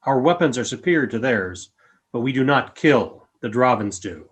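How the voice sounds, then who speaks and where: A man playing a calm, grave alien creature in a radio play, with a formal, measured way of speaking. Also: mid-range voice, breathy edge. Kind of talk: fan radio play acting.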